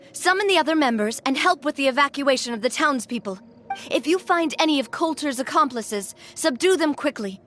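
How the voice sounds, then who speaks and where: A woman's voice: high voice, slight background noise. A woman voicing a young female guild leader in a game cutscene, earnest and determined. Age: young adult. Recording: game audio with loud music.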